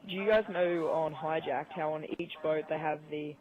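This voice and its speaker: A man's voice: a little high, fairly even intonation, moderate pace, muffled recording. A man in a casual gaming chat over an online voice call, with game audio behind him. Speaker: podcast guest. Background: video game audio.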